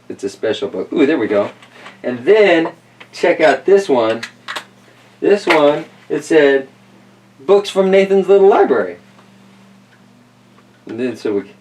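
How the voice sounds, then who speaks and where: Playful, folksy man with animated pitch. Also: far from the mic, a little hiss or hum. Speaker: host and reader of a children's story show. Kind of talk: read-aloud storytelling with casual asides.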